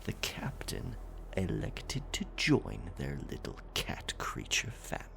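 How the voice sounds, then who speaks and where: A man playing a dominant, predatory werecat pirate captain with a stage pirate accent, telling a scary story in a theatrical, gravelly ASMR roleplay style. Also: low-pitched, huge pitch swings, full character voice. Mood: sly, ominous. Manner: slow, theatrical, breathy whispering that swells into growled taunts.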